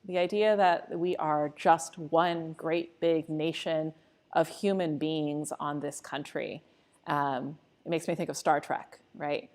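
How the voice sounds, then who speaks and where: Reflective woman from Costa Rica, slightly low voice, earnest answers in a live church Q&A. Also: steady tone, slow pace, darkish tone. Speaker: church staff member. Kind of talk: live unscripted Q&A conversation.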